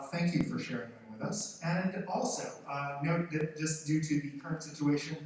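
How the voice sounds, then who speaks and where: A man who is a theater teacher and director, giving an informal, somewhat halting welcome speech to an audience. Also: fairly high pitch. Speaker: theater teacher and show director introducing a play. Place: large theater stage before an audience. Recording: very echoey auditorium, far off, faint noise.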